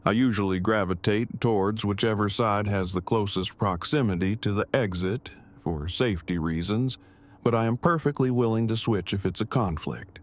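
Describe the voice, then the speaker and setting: A man acts out a stiff, bookish survivor in an audio drama. His voice is on the low side, and he talks in formal, overly precise, long-winded phrases with a nervous awkwardness. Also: normal speed, close to an everyday voice. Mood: awkward, nervous. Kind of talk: fan audio drama acting.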